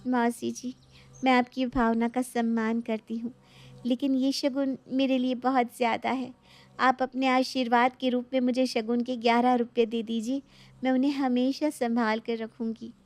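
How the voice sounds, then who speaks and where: A woman's voice: on the high side, level tone, normal speed, darkish tone, lightly acted. A woman playing a young, dutiful daughter-in-law in a moral story, speaking politely and earnestly. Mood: respectful. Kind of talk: animated moral story dialogue.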